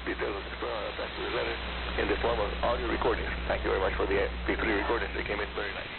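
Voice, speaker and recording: Casting: male radio presenter, low and very gravelly, scripted announcing style, heard on a shortwave broadcast. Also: flat delivery, very fast. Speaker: radio program host. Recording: noisy narrowband shortwave radio reception, humming. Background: loud static and hum.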